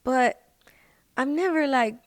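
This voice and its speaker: A woman's voice: sits high, slow, no room sound. A woman podcast guest chatting casually in a laid-back way, with slangy hip-hop phrasing. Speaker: guest, musician and social media personality. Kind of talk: casual chat. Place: podcast recording.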